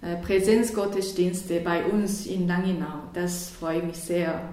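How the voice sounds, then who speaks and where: A woman's voice: fairly low pitch, steady tone, unhurried, warm tone, heavy reverb. A woman from South Korea with a Korean accent, a church worker answering interview questions in a hesitant way, with many fillers and restarts.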